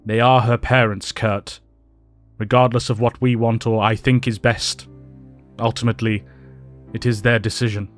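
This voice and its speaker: A man playing a grave, principled headmaster in an audio drama, formal and measured. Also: medium pitch, flat delivery, soft background music, natural voice. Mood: grave, regretful.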